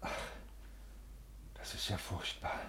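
An actor playing a stiff, agitated civil servant in a 1950s radio play, speaking formally and haltingly in a creaky voice. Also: male voice, pushed and bright, a little hiss or hum. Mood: weak, urgent. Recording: 1950s radio studio recording.

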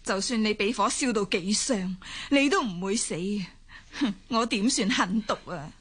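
A woman's voice: mid-range voice, measured pace, fairly bright tone, breathy edge. A woman playing a spiteful, accusing elderly grandmother in a radio drama. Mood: taunting. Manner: scornful, accusing.

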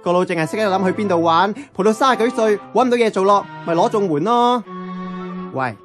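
An actress playing a brash, money-minded slacker nearing thirty, with a Hong Kong Cantonese accent and cocky, slangy radio drama delivery. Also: female voice, medium pitch, lively intonation, a little fast, over music, natural voice. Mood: boastful, scheming. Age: young adult.

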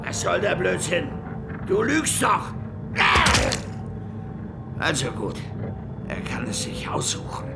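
High-strained, gravelly brutal interrogator. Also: male voice, wildly swooping pitch, slow pace, long pauses, loud music behind the voice, heavily acted. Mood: menacing, impatient. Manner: barking, menacing. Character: brutal, aggressive Soviet interrogator, threatening and impatient. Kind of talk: radio drama acting.

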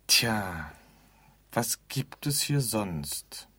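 An actor playing an offhand, forgetful keeper of an empty afterlife in a radio drama, rambling and groping for words. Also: male voice, on the low side, sing-song, drawn-out words, sharp tone, husky, dry recording, exaggerated voice. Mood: offhand, explaining. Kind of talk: theatrical radio drama dialogue.

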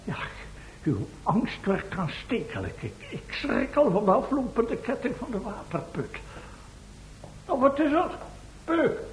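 Testy professor, animated, roomy sound. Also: male voice, a little slow, full character voice. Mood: testy, sarcastic. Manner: impatient, sarcastic, commanding. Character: testy, bossy male professor leading an expedition. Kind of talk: radio play acting. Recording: roomy, faintly noisy old radio recording with rumble.

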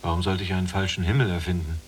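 A man's voice: sits low, level tone, racing, slight background noise, close to an everyday voice. A man acting in a literary radio drama as a caring, dreamy younger prisoner with earnest, lyrical phrasing. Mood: reproachful.

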